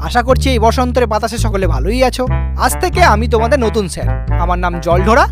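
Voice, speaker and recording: A man's voice: high-pitched, fast, close to an everyday voice. Man as a young male snake, cheeky, easygoing, slangy cartoon banter, prominent music behind. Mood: friendly. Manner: chatty, teasing, slangy. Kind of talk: comic cartoon dialogue.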